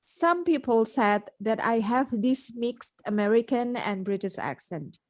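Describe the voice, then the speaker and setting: A woman hosts a casual podcast chat, speaking slowly and without hurry. Her voice sits a little high, and she states her views plainly and directly. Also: animated. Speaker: podcast host. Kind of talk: casual conversation.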